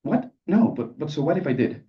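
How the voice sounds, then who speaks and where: A man's voice: on the high side, big pitch swings, a little fast, pitched above the performer's own voice. A man voicing an indignant, self-righteous young woman grad student in a live story reading, sassy and combative. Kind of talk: character dialogue in a live literary reading. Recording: dull sound, distant microphone.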